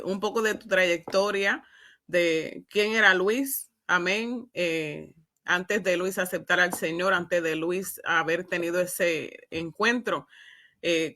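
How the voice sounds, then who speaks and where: Bright-voiced woman interviewing. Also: on the low side. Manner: halting, punctuated with amens. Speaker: host interviewing a guest. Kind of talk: religious testimony interview.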